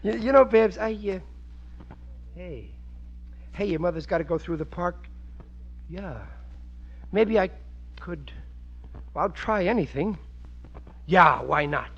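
Flustered working stiff american husband, middle aged, stammery and animated, kinda high, old muffled radio sitcom. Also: male voice, slow, creaky, long pauses, full character voice. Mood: scheming. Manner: blustering, stammering, ungrammatical. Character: bumbling, excitable middle-aged working-class husband and father. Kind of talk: 1940s radio sitcom acting.

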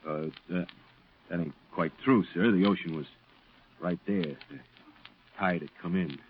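An actor playing a tough, battle-shaken marine sergeant in a 1940s radio drama, speaking haltingly in rough working-class speech. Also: male voice, deep voice. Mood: shaken, grim. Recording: muffled, noisy 1940s radio recording.

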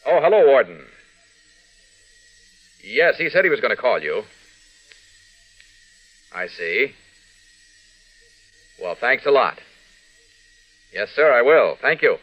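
An actor playing an earnest, reassuring, businesslike newspaper reporter in an old radio drama. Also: male voice, medium pitch, very animated, takes it slowly, long pauses, a bit bright, exaggerated voice, rough recording. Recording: old radio recording, faint noise.

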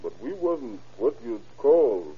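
An actor playing a folksy, simple, evasive young country soldier in a radio drama, very raspy, with a Southern US accent and rustic drawl. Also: male voice, mid-range voice, sing-song, takes it slowly, full character voice. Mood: meek, evasive. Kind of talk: classic radio drama acting. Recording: dull, lo-fi old radio recording.

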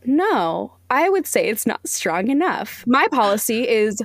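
A woman's voice: a little high, sing-song, measured pace, dry recording, clean studio sound. A woman speaking bluntly and emphatically as an opinionated guest critic in a casual podcast interview.

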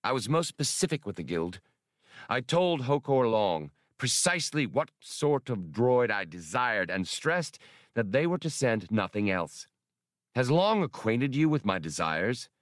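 A man's voice: medium pitch, sing-song, measured pace, dry recording, studio quality, pitched above the performer's own voice. A man voicing a powerful male official who is smug, chatty and condescending, a coldly dangerous audiobook villain. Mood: businesslike, cold.

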